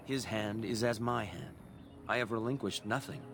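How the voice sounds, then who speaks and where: A male actor plays a kindly, proud elven craftsman of a woodland clan in a fantasy game. His voice sits fairly low, and he speaks in solemn, measured phrases like a formal storyteller. Clear music plays behind him. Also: close to an everyday voice.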